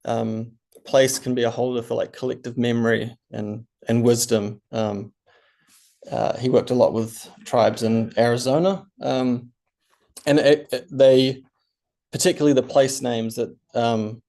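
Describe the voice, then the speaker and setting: Gravelly, hesitant man writer. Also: mid-range voice, fairly even intonation, measured pace, warm tone. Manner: hesitant, many ums and restarts. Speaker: panelist writer. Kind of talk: panel discussion answer.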